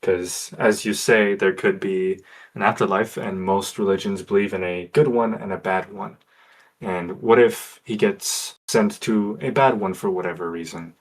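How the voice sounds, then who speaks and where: A man playing a tabletop game, debating in character in a measured, argumentative manner. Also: on the low side, steady tone.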